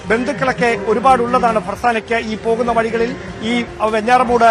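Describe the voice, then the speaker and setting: A man reporting live as a field news reporter, explaining at length in halting, repetitive run-on sentences. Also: very high-pitched, little pitch movement, over music.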